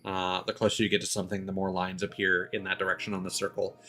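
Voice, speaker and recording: A man giving casual live game stream commentary in a rambling, halting, mildly griping way. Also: sits low, completely flat delivery, pushed and bright, a little hiss or hum.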